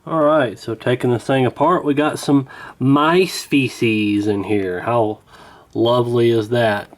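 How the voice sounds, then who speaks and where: A man's voice: mid-range voice, steady tone, slow pace. A man talking casually and hesitantly through a hands-on repair, in a folksy, unscripted way. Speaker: radio repair hobbyist, presenter.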